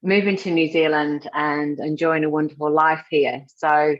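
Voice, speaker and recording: A woman's voice: low-pitched, flat delivery, moderate pace, highs cut off. A woman from the UK hosting a live webinar, chatty and full of fillers. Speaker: webinar host.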